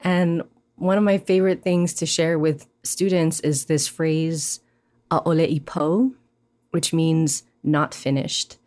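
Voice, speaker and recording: A woman from Hawaii, a poet speaking in a reflective interview, thoughtful and animated, often pausing with "um" and "you know". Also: low-pitched. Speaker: poet, guest.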